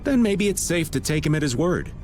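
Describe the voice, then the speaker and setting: A man's voice: a little high, sing-song, brisk, a little mellow, faint music behind. An actor playing an easygoing, wisecracking middle-aged man with a drawling delivery.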